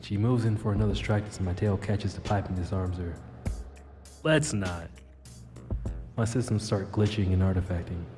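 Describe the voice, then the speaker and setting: Low-voiced cocky cyberpunk hero, man acting. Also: normal speed, long pauses, big-room sound, music in the background, lightly acted. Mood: tense narration. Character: cocky wounded tailed infiltrator, wry cyberpunk action hero. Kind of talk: audio drama dialogue with first-person narration.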